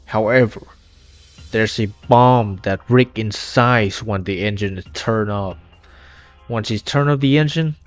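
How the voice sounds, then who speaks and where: A man reading scripted retrospective narration in slightly non-native English, recorded on an inexpensive home microphone. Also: fairly low pitch. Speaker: video essay narrator.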